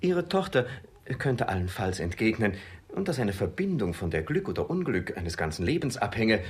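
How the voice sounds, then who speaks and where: An actor playing an obsequious, scheming young suitor in a classic comedy radio play, formal and theatrically deferential. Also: male voice, lowish voice, big pitch swings, moderate pace, slightly rough. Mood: flattering, hedging.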